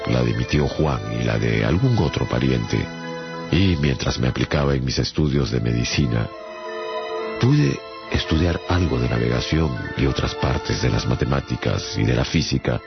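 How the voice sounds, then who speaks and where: An actor playing a seafaring English surgeon who recounts his adventures in measured radio drama narration. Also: rumbling low, gravelly, heavily acted. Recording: dull radio production under loud music.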